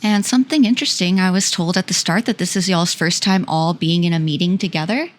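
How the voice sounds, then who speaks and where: Lower-pitched woman hosting an interview. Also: brisk. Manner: friendly, inquisitive questioning. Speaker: podcast host. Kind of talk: online interview.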